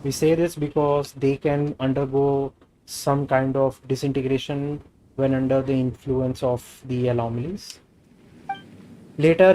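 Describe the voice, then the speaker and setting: A man's voice: medium pitch, mellow, a little hiss or hum, rough recording. A man with an Indian accent, a native Hindi speaker, doing a casual gaming livestream and reading game dialogue aloud. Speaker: game streamer.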